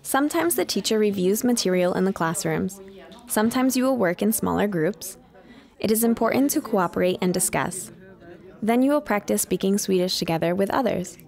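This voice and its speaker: A woman reading a scripted informational voice-over in an even, measured way. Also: medium pitch, vocal fry. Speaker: voice-over narrator and interpreter.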